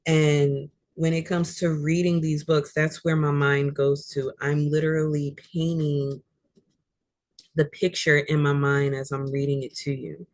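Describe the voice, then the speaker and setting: A woman with a deep voice, an author chatting as a guest about how she uses her voice, talking thoughtfully with frequent fillers. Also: little pitch movement, measured pace, a little mellow, no echo, studio quality. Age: adult. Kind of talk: casual chat about voice and reading.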